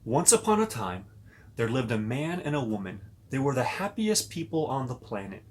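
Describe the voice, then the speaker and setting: A man reading a children's story aloud as a pastor, pausing to talk directly and expressively to young listeners. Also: medium pitch, big pitch swings.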